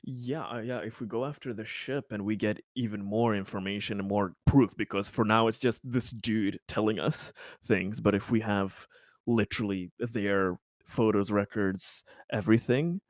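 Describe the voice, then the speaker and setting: Male roleplaying player, lower register, conversational and halting as he reasons through options, very dry recording. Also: steady tone, dry recording. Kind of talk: casual game discussion.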